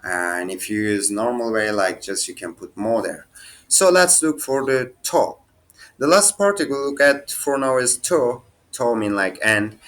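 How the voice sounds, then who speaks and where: Slow, halting man teaching a lesson. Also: on the low side, a bit bright. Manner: halting, thinks aloud, restarts and fillers. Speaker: language teacher. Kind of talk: casual screen-share lesson.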